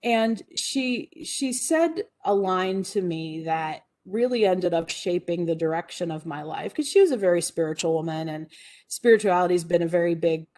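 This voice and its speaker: Woman, 40s, guest speaker: lowish, mellow voice, earnest and reflective storytelling. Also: lively intonation, moderate pace. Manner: earnest, reflective, with frequent fillers and restarts. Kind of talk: personal storytelling talk.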